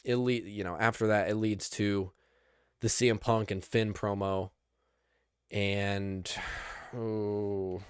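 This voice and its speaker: A young man hosting a podcast, recapping wrestling in casual chat, rambling and excitable, with lots of restarts. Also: lowish voice, takes it slowly, pauses often, clean tone, no echo, studio quality. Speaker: podcast host.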